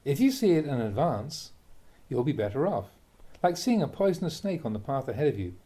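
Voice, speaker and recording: A man, a Buddhist monk, reads a translated spiritual talk aloud in a measured, emphatic way, posing rhetorical questions. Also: mid-range voice, sing-song, warm tone. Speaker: Buddhist monk reading a teacher's talks aloud. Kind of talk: reading a translated Dharma talk aloud, with brief asides.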